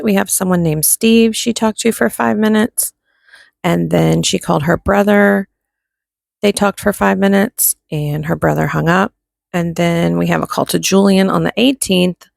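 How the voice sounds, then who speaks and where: A woman with a fairly low voice full of vocal fry gives informal true crime commentary. She works methodically through a log, one entry after another, slipping in wry remarks. The sound is dry, with very little room around it. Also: no echo. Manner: methodical, item-by-item recital with wry asides.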